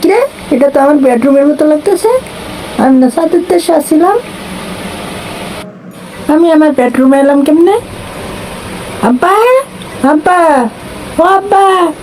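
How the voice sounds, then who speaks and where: A woman's voice: sits high, measured pace, pauses often, darkish tone. A woman voicing a foolish, boastful young village man in a comic cartoon, with a Bangladeshi accent and a whiny, naive delivery. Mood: excited, bewildered. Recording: clearly noisy background.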